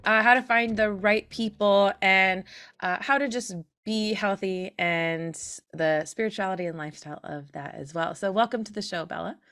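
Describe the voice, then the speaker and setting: A woman's voice: mid-range voice, moderate pace, fairly bright tone, smooth. A woman hosting a podcast in a conversational style, introducing and questioning as an interviewer does. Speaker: podcast host.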